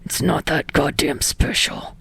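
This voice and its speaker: A surly young man, acted out by a woman in an audiobook story, speaking in a low growl pitched well down. He is curt, scornful and gruff, with a dry, close sound. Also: fairly even intonation, unhurried, a bit bright, no room sound, exaggerated voice. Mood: scornful, irritated. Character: gruff, sneering, foul-mouthed young man, a surly actor.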